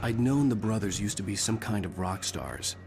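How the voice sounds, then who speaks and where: A man's voice: on the low side, expressive, normal speed. An actor playing an intense, brooding male thriller writer in a horror game, with a dry, sardonic manner. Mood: brooding narration. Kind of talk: narration and dialogue in a horror game. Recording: loud music over the voice.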